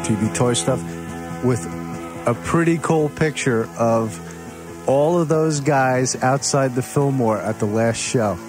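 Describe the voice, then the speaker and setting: A man's voice: mid-range voice, slow pace. A man hosting a radio show in casual music trivia banter, rambling and full of jokes and puns. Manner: joking, with puns and rambling asides. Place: radio studio.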